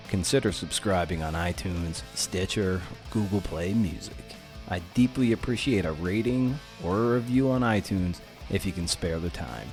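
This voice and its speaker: A man with a fairly low voice hosts a podcast. He speaks in an encouraging, conversational way, pausing now and then as he goes. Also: a little mellow. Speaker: podcast host. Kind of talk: conversational interview and podcast outro.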